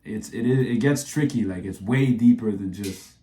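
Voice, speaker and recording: A man's voice: lowish voice, normal speed, warm tone, roomy sound. Slangy laid-back male reactor. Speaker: reaction video host. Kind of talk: casual reaction commentary.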